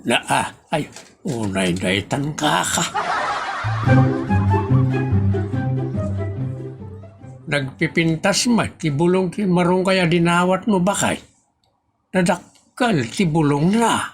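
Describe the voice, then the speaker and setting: An actor with an Ilocano accent playing a scolding, complaining family member in a comedy audio drama, full of drawn-out exclamations. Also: medium pitch, animated, music in the background. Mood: exasperated.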